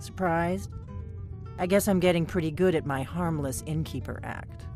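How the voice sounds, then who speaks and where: A woman's voice: lowish voice, normal speed, darkish tone, soft background music, natural voice. Actress as a cautious female innkeeper spy in a video game; conspiratorial, urgent, faint score underneath.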